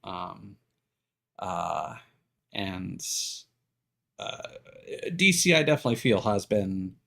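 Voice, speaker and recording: A man with a fairly low voice talks off the cuff as a live streamer. He rambles through his opinions, often repeating words as he feels for the next thought, and can be blunt. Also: sing-song, creaky, sharp tone. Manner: halting, circling back and repeating words. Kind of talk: casual opinionated rambling on a livestream.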